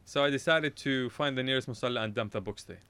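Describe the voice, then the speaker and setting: A man's voice: medium pitch, fast talker, sharp tone, clear, resonant tone, dry recording. A man from Iraq, a radio show guest, telling a casual anecdote in a rambling way, often correcting himself and laughing at his own story.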